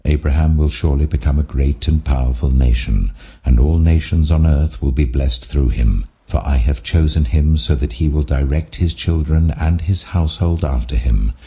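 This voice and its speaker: A British man voicing an almighty God in a scripture story, solemn, commanding and just, with grave, deliberate authority. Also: very deep voice, warm tone, slightly rough, full character voice.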